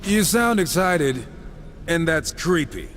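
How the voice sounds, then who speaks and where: A man's voice: a little high, sing-song, slow, a bit bright, slight background noise, exaggerated voice. An actor playing a wisecracking, irreverent male warrior in a video game, sardonic, slangy and combative. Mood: wry sarcasm. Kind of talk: video game dramatic acting. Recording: music under the voice.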